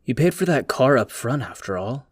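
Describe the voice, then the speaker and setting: A man's voice: mid-range voice, sing-song, normal speed, slightly rough, no echo, studio quality. A man playing a sardonic, prying teenage boy in an anime dub, teasing and nonchalant. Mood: sarcastic. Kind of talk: anime fan dub acting.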